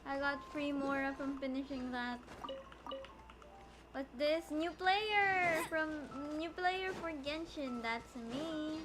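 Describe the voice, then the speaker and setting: A woman's voice: high-pitched, takes it slowly, over music, poor audio. A woman giving casual, playfully dramatic commentary as she streams a game. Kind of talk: casual live gaming commentary.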